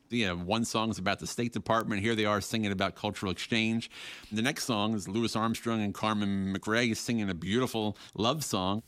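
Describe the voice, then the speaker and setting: Guy explaining music history in an interview, low voice, dry sound. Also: dry recording. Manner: relaxed, with restarts and a quick laugh. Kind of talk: casual interview talk.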